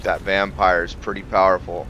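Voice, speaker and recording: Low-voiced man doing gameplay commentary. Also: flat delivery, moderate pace, pushed and bright, lo-fi sound, slight background noise. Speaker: gamer narrating his playthrough. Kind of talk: casual gameplay commentary with roleplay.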